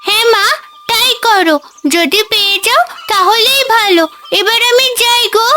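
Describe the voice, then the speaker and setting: A woman's voice: very high voice, moderate pace, heavy background noise. A woman voicing a worried young village girl in a cartoon, anxious and caring toward her parents.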